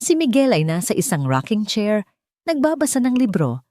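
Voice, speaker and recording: A woman's voice: mid-range voice, sing-song, normal speed, mellow, no room sound, studio quality. A woman reading a scripted dramatic love story as an audiobook narrator.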